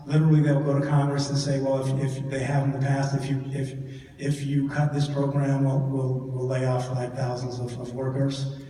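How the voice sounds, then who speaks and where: A man answers audience questions at a church forum in a mellow, low-key voice. He halts and doubles back on his words while he thinks. The sound is distant and roomy, with a long hall echo and faint noise underneath. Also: completely flat delivery, moderate pace. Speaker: guest speaker. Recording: echoey hall from far off.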